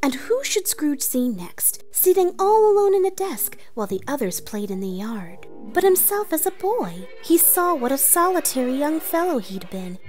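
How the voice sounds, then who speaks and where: A woman's voice: high voice, a little mellow. A woman playing an earnest, theatrical narrator of a storybook read-along. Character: earnest theatrical storyteller, comic author-narrator persona played female.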